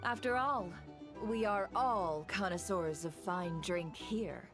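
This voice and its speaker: A woman's voice: medium pitch, slow pace, close to an everyday voice. A woman playing a poised, worldly society hostess in a video game, speaking in a formal, polished way. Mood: gracious, composed. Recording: music behind.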